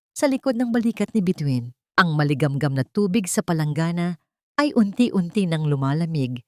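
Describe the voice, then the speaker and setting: A woman reads a dramatic story as its narrator. Her voice sits a little low, and the sound is dry with no room around it. She delivers each phrase in a measured, solemn way. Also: a little mellow, dry recording.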